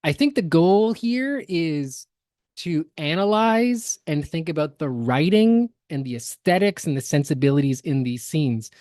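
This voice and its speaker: A man co-hosting a podcast, explaining things in a casual commentary style with halting, emphatic phrasing. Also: on the high side, animated, darkish tone, dry recording, clean studio sound. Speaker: podcast co-host.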